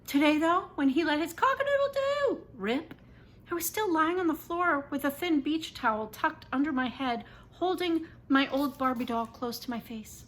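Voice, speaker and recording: A woman reading a first-person children's story aloud in an expressive, dramatic and emphatic way. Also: high-pitched, moderate pace. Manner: animated, dramatic, emphatic delivery.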